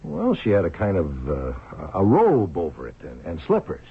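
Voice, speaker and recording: An actor playing a reluctant, puzzled police detective in a radio drama, loyal but doubtful, with a husky, halting delivery. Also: male voice, deep voice, wildly swooping pitch, full character voice. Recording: thin, phone-like old radio recording.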